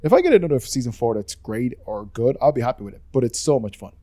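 An Irish man rambles through casual podcast talk, cursing freely and laughing at his own opinions. His voice is mellow and relaxed. The sound is dry and close to the mic. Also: medium pitch, quick pace, no room sound. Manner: rambling, swears freely, self-deprecating. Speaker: podcast co-host. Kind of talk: casual chat.

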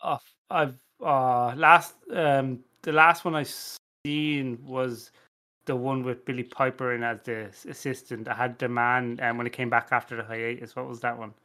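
A man improvising on a comedy podcast, halting and prone to swearing. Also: medium pitch, flat delivery, pushed and bright. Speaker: podcast panellist.

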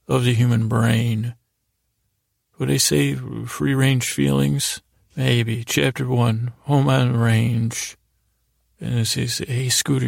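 A man hosting a sleep podcast in a gravelly voice, meandering and halting through gently absurd bedtime monologue, repeating himself and drifting into digressions. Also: medium pitch, no room sound, studio quality. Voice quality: gravelly, creaky voice. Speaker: podcast host.

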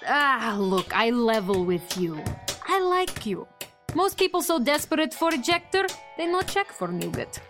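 A burly Eastern European saleswoman in a sci-fi comedy audio drama. Her voice is high and very animated, pushing shady deals in broken English, with music behind her. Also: unhurried, heavily acted. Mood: flustered, scheming. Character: warm burly Eastern European woman, wily shady parts dealer.

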